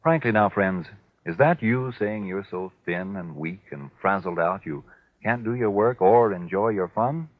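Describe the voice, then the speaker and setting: A man announcing in an emphatic, deliberate, scripted 1940s radio style. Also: on the low side, lively intonation, no echo. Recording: tinny, lo-fi 1940s radio recording.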